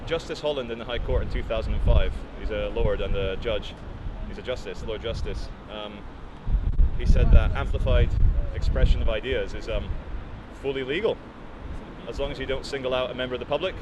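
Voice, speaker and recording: A man's voice: mid-range voice, fairly quick. A man, an articulate street activist who speaks politely and hesitantly in public. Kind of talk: street speech and chat in public. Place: outdoors on a city street. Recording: noisy city street, traffic behind.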